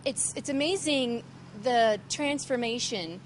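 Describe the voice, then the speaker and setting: Hesitant woman interviewer, higher voice. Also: takes it slowly, a little hiss or hum. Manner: hesitant, many fillers and restarts. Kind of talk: casual interview.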